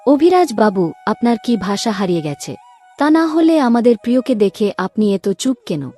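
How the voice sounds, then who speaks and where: A woman's voice: on the high side, very animated, normal speed, mellow. A woman playing an affectionate, teasing sister-in-law in a cartoon family drama, with a playful manner. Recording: music under the voice.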